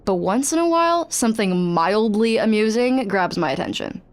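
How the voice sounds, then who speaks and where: A woman's voice: medium pitch, animated, moderate pace, a little hiss or hum, close to an everyday voice. A woman voicing a dry, sardonic non-human assistant who files patient records, in a video game. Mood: wry.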